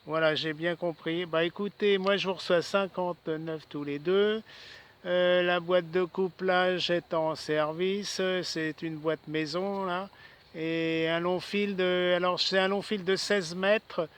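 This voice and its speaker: A man's voice: high voice, steady tone, slow pace, a bit bright, clean tone. A man chatting casually as an amateur radio operator outdoors, often repeating himself and adding little tags. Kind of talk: casual ham radio exchange. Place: outdoors in a city park. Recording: outdoor recording with faint ambient noise.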